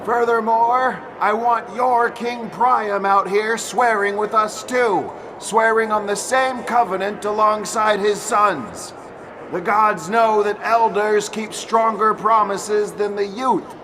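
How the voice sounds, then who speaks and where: A man's voice: high-pitched, steady tone, slow pace, noisy background. A man playing a proud warrior of ancient Greek epic, addressing armies in formal, declamatory oratory for an audio drama. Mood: grave, resolute.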